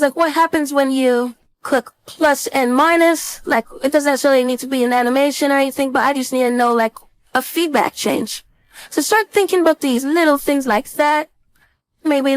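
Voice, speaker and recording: A woman instructor giving a casual screen-share software tutorial, informally thinking aloud step by step into a close mic. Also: sits high, no room sound. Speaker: design instructor.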